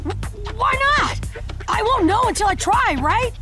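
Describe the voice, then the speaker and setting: A woman voicing a brash, eager, hot-headed teenage boy in an anime game dub, loud and blunt with some rasp. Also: very high-pitched, very animated, measured pace, over music, heavily acted. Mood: pleading.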